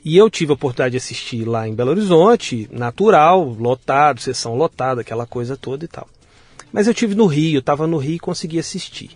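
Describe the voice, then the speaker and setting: A man in his forties with a Minas Gerais accent, a guest in an interview, talking in a relaxed way with frequent restarts. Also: fairly high pitch, a little fast, dry recording. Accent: Minas Gerais Brazilian Portuguese. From Minas Gerais, Brazil. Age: 40s. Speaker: guest, audio producer. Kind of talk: conversational interview.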